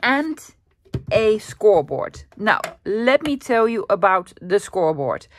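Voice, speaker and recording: A woman from the Netherlands with a Dutch accent, hosting a casual, chatty paper craft tutorial at her desk and often pausing with fillers. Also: mid-range voice, big pitch swings, a little hiss or hum. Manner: chatty, self-deprecating, with frequent fillers. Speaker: craft channel host.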